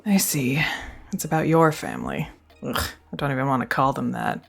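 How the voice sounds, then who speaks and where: A woman's voice: very deep voice, big pitch swings, normal speed, vocal fry, soft background music. A young woman performing a scripted girlfriend roleplay, speaking close to the mic in an affectionate, teasing and reassuring way.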